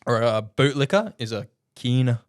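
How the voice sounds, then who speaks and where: A man's voice: mid-range voice, animated, normal speed, smooth, no room sound, studio quality. A man co-hosting a podcast in casual chat, playful and teasing. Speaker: podcast co-host.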